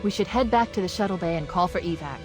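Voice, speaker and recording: Crisp, analytical female military AI played by an actress. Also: lowish voice, quick pace, over music, lightly acted. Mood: briskly tactical. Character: calm, quick-witted female military artificial intelligence. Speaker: AI assistant. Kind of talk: cinematic video game acting.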